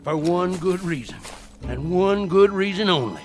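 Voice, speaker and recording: A man's voice: high voice, lively intonation, measured pace, over music. An actor playing a fervent old Southern conjure man in a radio play, with a folksy, theatrical rustic way of talking. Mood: insistent. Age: older. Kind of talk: dramatic radio play acting.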